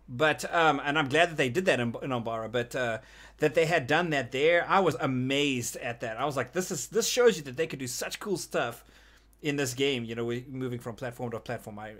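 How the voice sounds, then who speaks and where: A man's voice: medium pitch, fairly bright tone. Man moderating a casual gaming panel. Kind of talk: casual gaming talk show.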